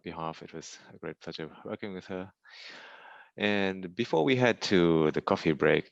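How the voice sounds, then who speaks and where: Gravelly deep-voiced conference moderator. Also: male voice, no room sound. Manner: polite, halting with many uh fillers. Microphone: video call mic. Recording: dry lo-fi video call audio.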